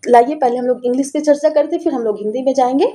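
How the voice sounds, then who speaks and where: A woman with a North Indian accent lectures students online in a fairly high, very soft-toned, mellow voice. Her throat is sore, and she explains in a chatty way, often switching into English and repeating phrases. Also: racing. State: sore throat, voice affected by illness. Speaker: teacher, online course presenter.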